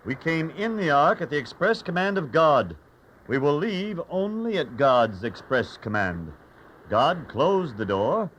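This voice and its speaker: A firm godly patriarch dad, earnest, voice rises and falls a lot, bit of noise. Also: male voice, fairly high pitch, big pitch swings, unhurried, fairly bright tone. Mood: solemn, reassuring. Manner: earnest, commanding. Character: Noah, firm, devout, patient patriarch father. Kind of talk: dramatized children's audio Bible story.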